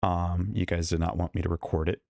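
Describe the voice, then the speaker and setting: A man's voice: low voice, little pitch movement, a little fast, creaky, darkish tone, dry recording. A man hosting a casual live stream from a hotel room while losing his voice, chatting and greeting viewers one by one through a studio microphone. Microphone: studio microphone plugged into a laptop.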